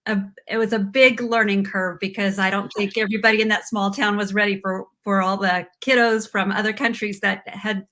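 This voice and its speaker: A woman's voice: mid-range voice, little pitch movement, brisk, few pauses, fairly bright tone. A middle-aged woman, a former teacher, chatting casually as a guest on a live video call, telling her story with many fillers. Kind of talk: casual interview chat. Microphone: video call mic.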